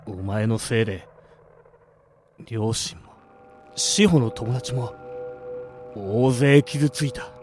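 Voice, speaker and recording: An actor playing a cold, vengeful older brother who speaks in terse commands in a radio drama. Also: male voice, medium pitch, slow pace, long pauses, darkish tone, faint music behind, lightly acted. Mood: bitter, resolute.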